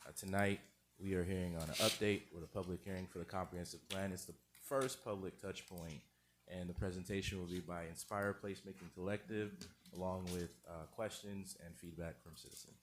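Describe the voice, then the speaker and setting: A man's voice: sits low, steady tone, fairly quick, background noise. A man on city staff giving a formal introduction at a council meeting, greeting each official in turn.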